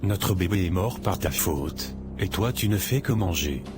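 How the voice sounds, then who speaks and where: A man playing a cruel, commanding husband in an animated moral drama. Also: low-pitched, measured pace, over loud music. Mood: cold contempt. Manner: harsh, commanding, insulting. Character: cruel, contemptuous husband throwing out his wife.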